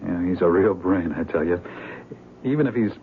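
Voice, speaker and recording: An actor playing a shrewd, plain-spoken police detective in a 1970s radio drama. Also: male voice, low voice, normal speed. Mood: folksy, confiding. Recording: muffled, hissy 1970s radio broadcast.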